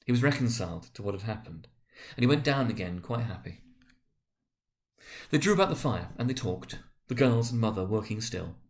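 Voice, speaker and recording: Man reading a classic story out loud, super animated, pitch moves a lot, bit halting. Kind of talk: dramatic literary narration.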